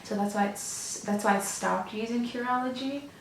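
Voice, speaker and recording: Young woman vlogger, hoarse and raspy, rambling casual chat; echoey home room, faint hiss behind. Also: on the high side, moderate pace, distant mic. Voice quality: slightly raspy. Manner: rambling, many ums and restarts. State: hoarse from a sore throat. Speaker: beauty vlogger. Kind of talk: casual get-ready-with-me chat.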